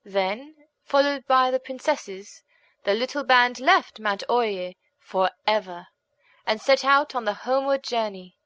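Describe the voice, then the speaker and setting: A woman reading a folk tale as an audiobook narrator, in a measured, expressive, dramatic storytelling manner. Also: a little high, no room sound.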